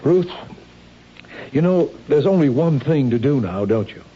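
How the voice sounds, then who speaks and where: An actor playing an earnest, protective, questioning husband in a 1970s radio drama. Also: male voice, mid-range voice, expressive, unhurried, mellow, husky. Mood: grave, coaxing. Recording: dull radio recording with faint noise.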